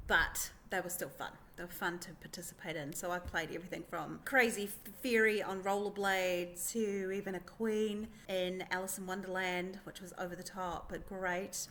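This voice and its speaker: A woman's voice: mid-range voice, fairly bright tone. A woman presenter giving an informal explainer, chatty and emphatic. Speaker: presenter, pantomime performer. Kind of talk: informal explainer talk to camera. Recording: clear background noise.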